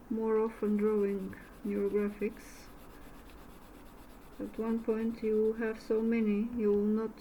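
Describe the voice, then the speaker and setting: A woman with a Bulgarian accent speaks slowly and softly in a mellow, dark-toned voice, pausing often as she searches for English words. She explains an art method in a relaxed tutorial, with a faint hiss of room noise behind her. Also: mid-range voice, low-quality recording. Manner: slow, halting, searching for words. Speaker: art instructor. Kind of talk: casual art demo tutorial.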